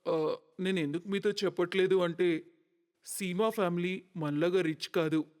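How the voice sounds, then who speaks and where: A man's voice: sits high, a little slow, darkish tone, smooth. A man voicing an earnest, respectful young adult son in a cartoon story, speaking hesitantly with long reverb around him. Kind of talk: cartoon moral story acting.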